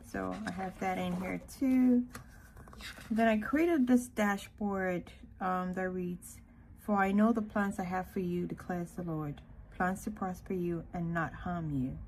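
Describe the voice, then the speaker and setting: Slow-talking woman with a creaky voice. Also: fairly low pitch, slight background noise, rough recording. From Ghana. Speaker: planner vlogger. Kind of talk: casual walkthrough narration.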